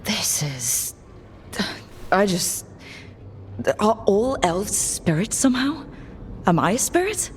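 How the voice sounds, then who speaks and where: A woman's voice: medium pitch, big pitch swings, takes it slowly, long pauses, slight background noise. A woman voicing a thoughtful, level-headed elven heroine in a fantasy video game, speaking sincerely. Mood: stunned.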